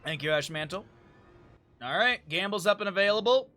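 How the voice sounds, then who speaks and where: A hoarse man casting a live-streamed esports match, chatty and thinking aloud, often correcting himself. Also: high voice, expressive, fairly quick, bright, forceful tone.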